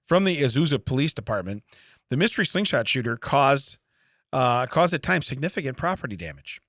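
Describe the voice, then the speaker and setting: Animated, wisecracking male podcast co-host. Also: medium pitch, a little fast, no echo, studio quality. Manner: jokey, wry running asides. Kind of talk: casual comedy chat, reading and riffing on a news story.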